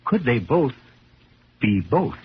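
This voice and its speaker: A man hosting a 1970s radio drama, narrating in a deliberate, theatrical way full of rhetorical questions. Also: mid-range voice, very animated, background noise. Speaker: host and narrator. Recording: muffled 1970s radio broadcast.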